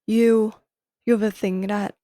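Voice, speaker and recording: A woman's voice: medium pitch, little pitch movement, takes it slowly, warm tone, no room sound. A young woman with a Thai accent hosting a solo podcast, explaining a book carefully in non-native English and correcting herself as she goes. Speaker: podcast host.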